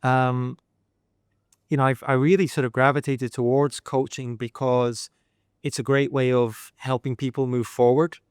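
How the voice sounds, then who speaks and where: A middle-aged man from Scotland with a Scottish accent, giving reflective, steady answers as a leadership coach on a podcast. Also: mid-range voice, unhurried, smooth, no echo, clean studio sound. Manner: reflective, steady, uses sayings and examples. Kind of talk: measured podcast interview answers. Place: Dubai.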